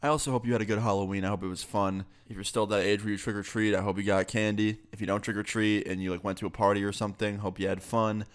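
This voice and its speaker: A man's voice: on the low side, fast, hardly any pauses, smooth. Young man telling a story while sick. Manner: casual, profane, animated storytelling. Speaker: YouTuber. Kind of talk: casual storytime vlog.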